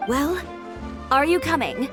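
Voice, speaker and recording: High-voiced, brisk, defiant young woman. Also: animated, music in the background. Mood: brisk, confident. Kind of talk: video game voice acting.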